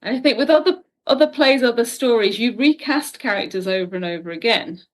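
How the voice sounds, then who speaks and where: Woman in relaxed-format interview chat. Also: a little high, brisk. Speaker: guest, audio drama writer.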